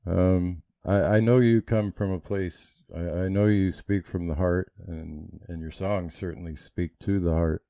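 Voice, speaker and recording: A man asking interview questions in a soft, hesitant way. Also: low-pitched, fairly even intonation, measured pace, hardly any pauses, dry recording.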